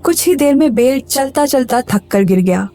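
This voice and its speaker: A woman's voice: sits high, expressive, normal speed. A woman reading scripted narration for a cartoon moral story as the storyteller. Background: clear background music.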